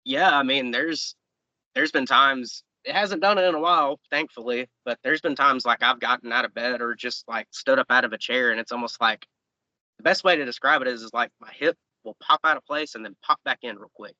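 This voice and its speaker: Bright-voiced young man, rambling. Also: medium pitch, fairly quick, no echo, muffled recording. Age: 20s. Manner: easygoing, rambling with fillers and restarts. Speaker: professional wrestler guest. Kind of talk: casual interview chat.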